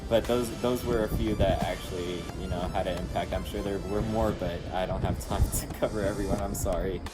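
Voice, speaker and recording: A man's voice: low-pitched, fairly even intonation, fairly quick, loud music behind the voice, low-quality recording. A young man from Houston, a recent graduate now working as an engineer, talking earnestly to camera from an outdoor rooftop. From Houston, Texas. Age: young adult. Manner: earnest, conversational, with small restarts. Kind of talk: talking to camera about his studies and career.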